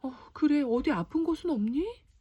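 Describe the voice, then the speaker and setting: A woman's voice: high voice, animated, moderate pace, mellow, dull audio, voice pushed up. A woman voicing a kindly, trusting father in a folktale, with an earnest, old-fashioned way of speaking. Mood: relieved concern.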